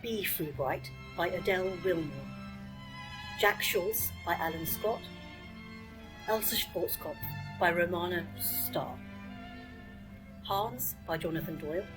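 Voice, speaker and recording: A woman's voice: fairly low pitch, slow, pauses often. A woman reading scripted credits as an announcer. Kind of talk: scripted narration. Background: violin music.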